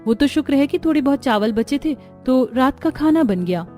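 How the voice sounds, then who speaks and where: A woman voicing a worried, dutiful and soft-hearted poor housewife in a cartoon family drama. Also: sits high, animated, moderate pace, faint music behind, voice pushed up. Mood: apologetic.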